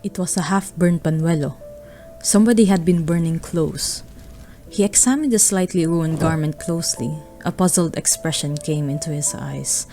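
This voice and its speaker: A woman's voice: sits low, moderate pace, mellow, soft background music. A woman narrating a radio drama, reading a scripted story.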